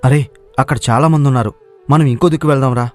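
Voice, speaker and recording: A man's voice: medium pitch, fairly even intonation, quick pace, a little mellow, close to an everyday voice. A man playing a lazy, cocky young village daydreamer, brash and impatient, in a moral story. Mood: eager. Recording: music playing behind the voice.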